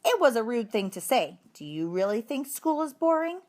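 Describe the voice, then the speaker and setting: Storybook schoolteacher, acted by a woman: patient but in charge, very lively intonation. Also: on the high side, normal speed, voice pushed up. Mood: stern. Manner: firm, questioning classroom address. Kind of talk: children's storybook read-aloud.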